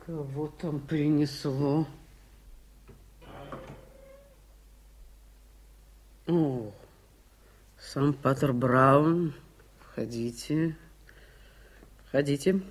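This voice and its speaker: A solemn, mocking seeress in a 1980s radio drama. She speaks very slowly, imperious and sardonic. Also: low voice, fairly even intonation, a little hiss or hum. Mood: curt. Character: haughty, mocking prophetess, solemn and caustic. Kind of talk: 1980s radio play acting.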